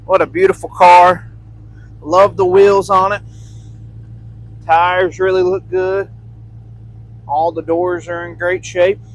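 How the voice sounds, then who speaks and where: A man's voice: high-pitched, flat delivery, slow pace, long pauses. A man giving an enthusiastic, folksy, casual sales walkaround of a classic car as its dealer. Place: outdoors beside a car, then inside it driving. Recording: noisy outdoor and in-car vehicle noise.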